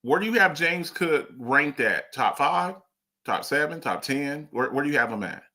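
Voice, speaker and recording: A man hosting a casual sports talk show, arguing about players in an animated way, with lots of restarts and pointed questions. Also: a little high, normal speed.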